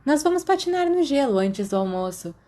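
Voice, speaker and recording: Woman as a friendly, high-voiced Brazilian schoolgirl. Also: very animated, brisk, warm tone. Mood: cheerful. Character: friendly, forthright young schoolgirl in glasses.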